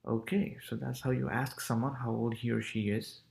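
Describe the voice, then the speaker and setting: A young man in his twenties teaching a casual language lesson, repeating phrases slowly with relaxed, chatty asides. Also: medium pitch, gravelly. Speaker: language tutor.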